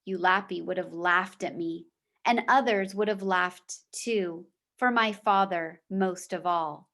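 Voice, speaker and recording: Unhurried woman reading a story aloud. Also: a little high. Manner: measured, deliberate storybook delivery. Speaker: teacher reading a book aloud to fourth graders. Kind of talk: read-aloud storytelling with short explanatory asides.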